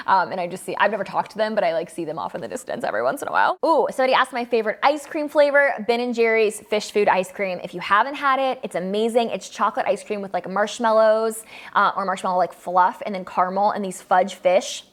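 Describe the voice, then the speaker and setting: A woman's voice: medium pitch, lively intonation, a little fast. A woman in her mid-twenties answering viewer questions in a casual vlog, upbeat and chatty, laughing often between her answers. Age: 20s. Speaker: photographer and vlogger. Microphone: camera mic, filmed by a friend.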